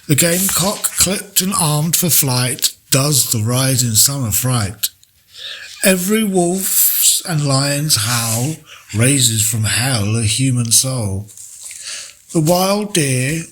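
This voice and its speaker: A man reading poetry aloud in a deliberate, measured way with pauses between phrases. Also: mid-range voice, animated, fairly bright tone, slight background noise, rough recording. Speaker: poetry reader. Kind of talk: poetry recitation, read aloud.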